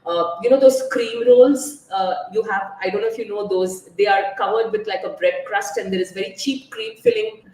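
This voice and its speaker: A woman's voice: mid-range voice, steady tone, normal speed, hardly any pauses, darkish tone, far from the mic. Woman, echoey and set back from the mic. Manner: open and personal, with frequent "uh" fillers and restarts. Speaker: nutrition guest. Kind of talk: casual interview conversation.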